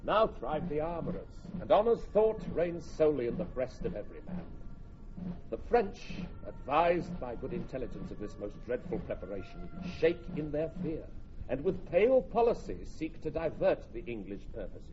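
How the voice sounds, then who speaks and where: Fervent chorus, highish animated voice, grand declamation, music behind, Shakespearean radio drama. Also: male voice, normal speed. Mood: rousing. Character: stirring chorus narrator of war, grand, fervent. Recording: dull old radio recording.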